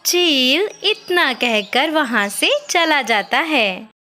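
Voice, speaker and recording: A woman narrates a children's story from a script. Her voice is high and bright, and she goes slowly from phrase to phrase, with faint music underneath. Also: lively intonation.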